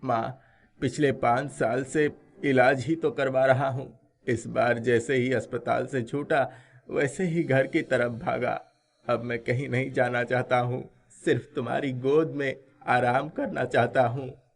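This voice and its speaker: A man plays an ailing runaway son in a dramatised story. He is weary and full of remorse, devoted to his mother, with a medium-pitched male voice. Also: steady tone, unhurried, gravelly. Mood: emotional, weary. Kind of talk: dramatised audio story acting.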